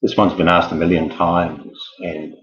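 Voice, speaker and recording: A man's voice: low voice, flat delivery, measured pace, fairly bright tone. A man hosting an informal live quiz in a rambling, jokey manner with theatrical build-up. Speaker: quiz host. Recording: dull lo-fi, echoey room.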